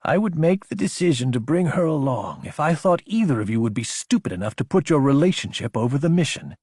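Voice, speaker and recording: A man voicing a stern, unflappable older female military commander in an audiobook, dry, deliberate and matter-of-fact. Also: fairly high pitch, sing-song, a little mellow, slightly rough, no echo, pitched above the performer's own voice. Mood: slow, scornful.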